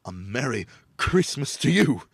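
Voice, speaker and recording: An actor playing an excitable old miser in a radio play, theatrical and stammering. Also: male voice, mid-range voice, unhurried, husky, exaggerated voice. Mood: joyful, gracious.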